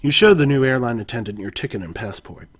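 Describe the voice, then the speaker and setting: A man's voice: mid-range voice, animated, fast, husky. A man reading an interactive adventure story aloud in the second person, stopping now and then to correct himself. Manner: second-person narration, occasional self-corrections.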